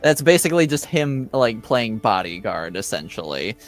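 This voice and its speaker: A man runs a tabletop roleplaying game in a medium-pitched voice. He explains options deliberately and hedges as he works them out. Faint music plays behind him. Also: very animated. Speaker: game master. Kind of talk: improvised tabletop roleplay narration and discussion.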